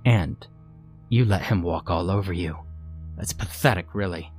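A man's voice: deep voice, a little slow, a little mellow, soft background music. Man playing a taunting British-accented shapeshifting villain. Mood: mocking. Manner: taunting, theatrically chatty. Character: gleeful sinister male shapeshifter, chatty, mocking, posing as friend.

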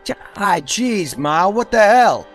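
A man's voice: sits high, sing-song, slow, over music, exaggerated voice. An actor playing a brash, showboating male mob boss in an old-time radio play, taunting and barking orders. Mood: exasperated.

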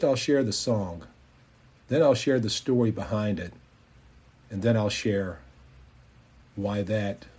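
A middle-aged man tells a personal story as a podcast host, reflective and halting. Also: lowish voice, darkish tone, husky. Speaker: podcast host and former pastor.

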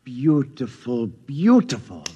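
An actor playing a mild, bumbling president in an old-time radio drama, hesitant and stammering. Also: male voice, medium pitch, sing-song, slow pace, slightly rough, muffled recording, heavily acted. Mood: dreamy. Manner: hesitant, stammering, folksy politician. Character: bumbling, deferential male president, mild and dreamy.

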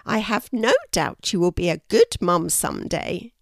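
A woman voicing a brisk, kindly mother kookaburra with a friendly, bustling manner in a children's storybook. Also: fairly low pitch, gravelly, dry recording, studio quality.

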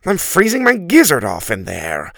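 A stuck-up male turkey with a lively, swooping voice, sniffing at everything around him in a slow, haughty drawl, as a man puts him on. The sound is dry and close. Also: fairly high pitch, creaky, no echo, voice pushed up, heavily acted. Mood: complaining. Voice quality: haughty, sneering, drawn-out delivery. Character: snooty grumpy male turkey, complaining, pompous.

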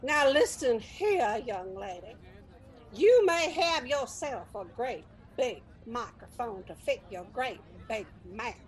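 Casting: excitable older folksy superfan, very high voice, slow dramatic delivery, radio comedy. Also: female voice, exaggerated voice. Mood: indignant. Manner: breathless, folksy, exaggerated drawn-out delivery. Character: gullible, excitable old Southern soap opera fan lady. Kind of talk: comedic radio sketch acting.

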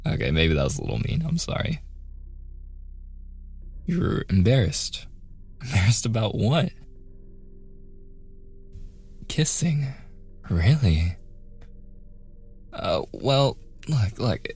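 A young man acting a playful, teasing younger brother in a soft, intimate boyfriend roleplay with casual, hesitant phrasing. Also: fairly low pitch, sing-song, slow pace, gravelly, pauses often, breathy edge, faint music behind, exaggerated voice. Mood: apologetic, awkward.

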